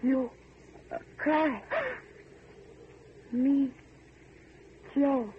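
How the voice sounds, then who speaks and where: An actor playing a simple, gentle, childlike workman in an old-time radio drama, speaking in halting broken phrases. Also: very high voice, full character voice. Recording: tinny, faintly noisy vintage radio recording.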